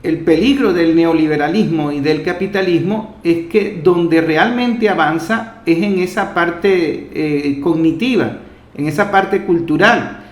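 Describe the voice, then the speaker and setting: A man with a fairly high voice and a Venezuelan accent speaks in an echoey room. As the interviewer he talks discursively, building long and earnest reflections on the way to his question. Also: a little slow. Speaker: interviewer, host. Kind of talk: discursive political interview talk.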